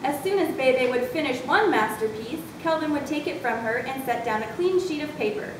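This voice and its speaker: A woman's voice: lively intonation, a little fast. A woman reading scripted story narration as a narrator in amateur readers' theatre. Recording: distant, very echoey room, noisy with rumble.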